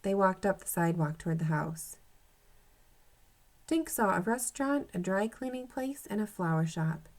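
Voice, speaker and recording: Expressive woman reading a children's story aloud. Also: mid-range voice. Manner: expressive, acts out dialogue, pauses to comment to pupils. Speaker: teacher reading aloud to third graders.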